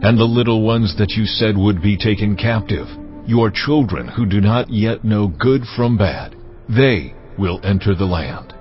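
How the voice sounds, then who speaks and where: An actor voicing a stern, solemn God in a dramatized scripture reading, speaking in measured, commanding pronouncements. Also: male voice, lowish voice, natural voice. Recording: dull sound with music underneath. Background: music.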